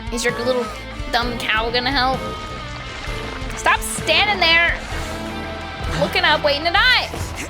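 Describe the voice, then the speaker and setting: A woman's voice: high voice, unhurried, pauses often, over music. A woman streaming a video game, reacting casually and playfully heckling the characters. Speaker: streamer, VTuber.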